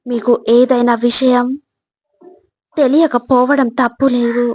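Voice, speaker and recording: A woman reading scripted motivational narration in a measured, instructive way, phrase by phrase. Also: fairly high pitch, level tone.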